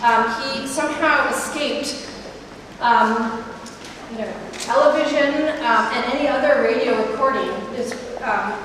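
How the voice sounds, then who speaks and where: A woman's voice: fairly high pitch, a little slow, a bit bright, a little hiss or hum. A woman in her thirties giving a guided tour of a gallery as its curator, chatty, with many ums and restarts. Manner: chatty, many ums and restarts, walking and gesturing while talking. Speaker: museum curator. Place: large gallery room, indoors. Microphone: handheld mic through a loudspeaker, recorded by a far camera. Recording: echoey gallery, speaker far off.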